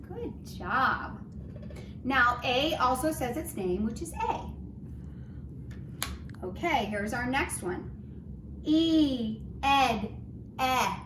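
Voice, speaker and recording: Gentle teacher woman, roomy distant sound. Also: high-pitched, animated, extremely slow, pauses often. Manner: gentle, encouraging, singsong. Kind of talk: children's phonics lesson. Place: home. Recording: roomy, distant, noisy home recording.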